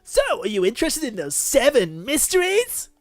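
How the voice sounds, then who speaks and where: High-pitched, animated visual novel schoolgirl. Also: moderate pace, dry recording. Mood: teasing, calling.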